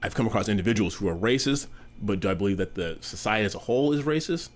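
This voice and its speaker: A man in his fifties, an American being interviewed, answering in a candid, measured, good-humored way. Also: fairly low pitch, animated. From United States. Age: 50s.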